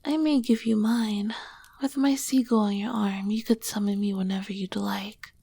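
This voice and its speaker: A woman playing an ancient, tender, teasing succubus queen in an intimate ASMR roleplay, soft-spoken and often dropping to a whisper. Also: medium pitch, fairly even intonation, vocal fry, breathy edge, no room sound. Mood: confiding, fond. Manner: slow, gentle, affectionate. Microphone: close microphone, dry.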